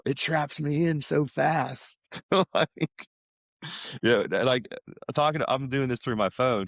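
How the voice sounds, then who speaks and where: A man's voice: mid-range voice, creaky, no room sound. A middle-aged American man chatting casually, telling stories in a rambling way. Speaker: podcast guest, salesman. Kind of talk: casual chat.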